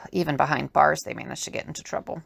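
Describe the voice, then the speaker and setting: Creaky, sarcastic, irritable woman played by an actress. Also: sits low, fairly even intonation, a little fast, no echo, full character voice. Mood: worried, weary. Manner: dry, exasperated. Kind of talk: audio drama acting.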